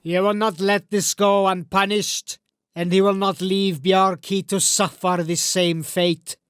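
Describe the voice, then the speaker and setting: A man playing a grave, weary old Norse warrior in an audiobook, speaking in solemn, measured, formal phrases. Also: high-pitched, little pitch movement, a bit bright, clear, resonant tone, dry recording, clean studio sound. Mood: grim. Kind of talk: audiobook character acting.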